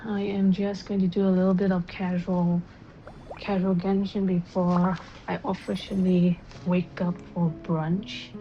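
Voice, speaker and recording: A woman's voice: level tone, unhurried, a little hiss or hum. A woman livestreaming from home in a subdued, casual chat, speaking into an earbud microphone while she plays a game. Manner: low-key, subdued. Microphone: wireless earbuds mic on a phone. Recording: dull lo-fi earbud mic, game music behind. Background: game music.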